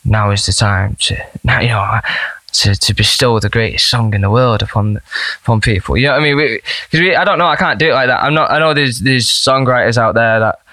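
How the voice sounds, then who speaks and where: A young man, a band member chatting as a podcast guest, telling rambling, animated stories. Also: mid-range voice, brisk, dry recording. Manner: animated, rambling storyteller with laughs. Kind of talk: casual storytelling chat.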